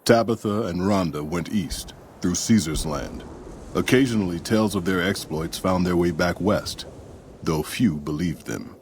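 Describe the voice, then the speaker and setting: Calm wasteland storyteller for a game: very deep, measured, faint background noise. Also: male voice. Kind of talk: scripted game epilogue narration.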